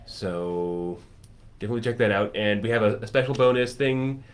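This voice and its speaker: A man hosting a casual chat, enthusiastically pitching a course and piling up lists and vivid comparisons. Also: normal speed. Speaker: music teacher and course host.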